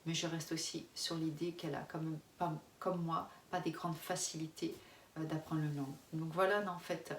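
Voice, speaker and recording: French woman in her forties, candid rambling vlog chat, low-ish voice, echoey room, set back from the mic, faint background hum. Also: fairly even intonation, normal speed. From France. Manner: frank, rambling, many restarts, laughs often. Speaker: expat family vlogger. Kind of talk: casual face-to-camera chat. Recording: roomy, distant mic, faint ambience.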